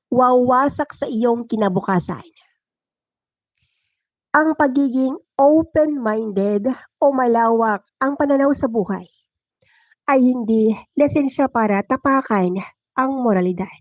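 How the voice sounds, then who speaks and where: A woman's voice: high voice, no room sound. A woman with a Filipino accent, working as a storyteller and host on a livestream. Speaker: storyteller and live-streaming channel host. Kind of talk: dramatic first-person story narration; casual livestream chat with viewers.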